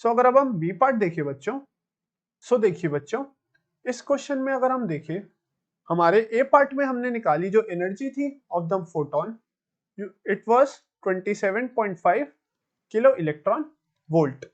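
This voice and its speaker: A dull, lo-fi recording of an Indian man teaching a lesson. His voice sits high for a man. He explains each step directly to his students at a slow pace, switching between Hindi and English. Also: lively intonation. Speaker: physics teacher.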